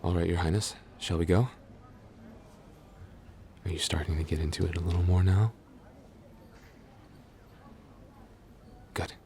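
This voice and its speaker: A man playing a devoted, easily flustered young knight in an intimate roleplay audio drama, speaking politely and haltingly with soft attentiveness. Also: low voice, creaky, a little hiss or hum. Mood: gently coaxing.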